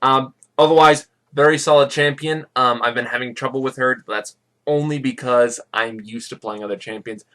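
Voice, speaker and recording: A man's voice: mid-range voice, steady tone, moderate pace, bright, forceful tone. A man co-hosting a gaming podcast in casual chat, blunt and joking with dismissive asides. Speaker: podcast co-host.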